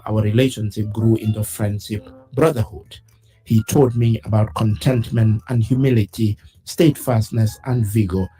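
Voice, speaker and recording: A man presents a weekly news program in a measured, solemn read. His voice sits low and sounds warm and relaxed. Soft music plays faintly beneath his words. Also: poor audio. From West Africa. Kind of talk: scripted news reading.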